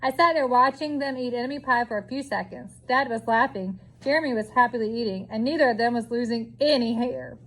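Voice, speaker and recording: Woman, high voice, storybook read-aloud, expressive delivery, drawn-out emphasis. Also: low-quality recording. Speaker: storybook reader. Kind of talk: children's picture book read-aloud.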